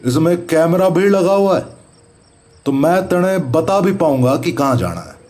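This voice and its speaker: A man playing a serious, methodical paranormal investigator in an audio horror drama, speaking deliberate rustic Haryanvi-Rajasthani Hindi. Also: a little high, lively intonation, darkish tone. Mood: instructive. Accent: Haryanvi-Rajasthani rural Hindi. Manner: deliberate, instructive. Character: methodical, serious male paranormal investigator, neighbourhood elder brother.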